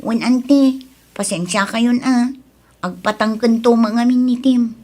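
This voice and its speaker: A woman's voice: fairly high pitch, steady tone, takes it slowly, a little mellow. Plaintive young Ilocano daughter played by a woman. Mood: grateful, apologetic. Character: earnest, aggrieved young woman, dutiful daughter. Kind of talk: Ilocano comedy radio drama acting.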